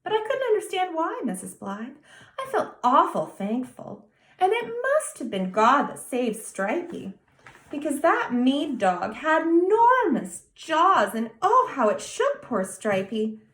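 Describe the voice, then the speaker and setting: A woman's voice: high voice, sing-song, measured pace, a bit bright, echoey room, voice pushed up, full character voice. A woman doing an earnest, grave little boy. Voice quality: grave, emphatic childlike delivery. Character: earnest serious small boy, nearly ten.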